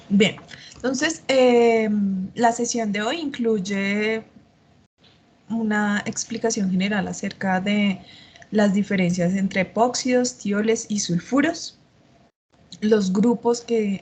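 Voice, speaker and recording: A woman's voice: mid-range voice, darkish tone, slight background noise. A woman chemistry tutor, explaining patiently in an online class despite a slight cough and sore throat. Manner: patient, explanatory, frequent filler sounds. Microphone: computer mic on a video call.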